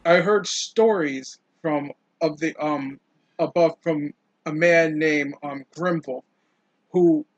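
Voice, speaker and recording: A man roleplaying at a tabletop game, telling his character's story in a halting, conversational way. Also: fairly high pitch, dry recording, highs cut off.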